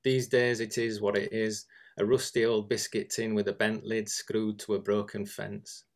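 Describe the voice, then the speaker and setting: A man's voice: lowish voice, level tone, normal speed, gravelly. A man reading short observational prose aloud from a script.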